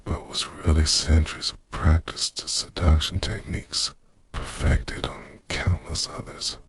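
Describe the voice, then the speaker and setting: An ancient, possessive vampire lover, acted by a man for an ASMR roleplay. His voice is extremely deep and gravelly, often slipping into a breathy whisper. He confesses slowly and intimately, seductive with a tender menace. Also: exaggerated voice. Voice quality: breathy half-whisper with gravelly low register.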